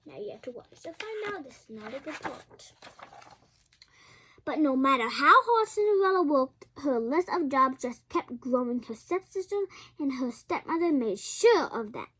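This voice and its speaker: A female reader with a high voice tells a fairy tale out loud. Her intonation swings widely and expressively. She drops in chatty asides and trips over a word now and then. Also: normal speed. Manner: expressive, stumbles over words. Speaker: storybook reader.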